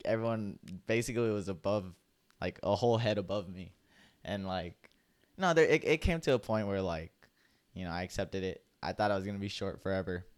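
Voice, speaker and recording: A young man co-hosting a podcast, telling stories in a relaxed, chatty way and leaning on "like" often. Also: on the low side, smooth. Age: young adult. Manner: laid-back storytelling, heavy use of "like". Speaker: podcast co-host. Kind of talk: casual chat.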